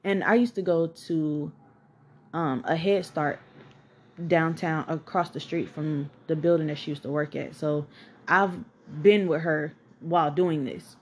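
Woman, 20s, Atlanta accent, podcast host, casual storytelling, candid, slightly low voice. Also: steady tone, measured pace, slightly rough. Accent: Southern US, Atlanta. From Atlanta, Georgia. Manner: candid, chatty, swears freely, lots of "like" and "um".

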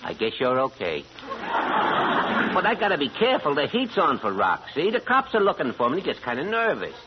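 Slow-witted mob lookout, slangy, higher-pitched, animated; crackly vintage radio play. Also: male voice. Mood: confiding. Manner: streetwise slangy talk. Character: wary, slow-witted gangland doorkeeper, tough but gullible. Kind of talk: 1940s radio gangster acting. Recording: muffled, crackly old radio broadcast.

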